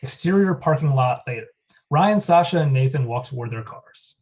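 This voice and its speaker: Brief: male reader for stage directions, mid-pitched, matter-of-fact. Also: lively intonation, normal speed, darkish tone. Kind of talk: flat reading of scene directions.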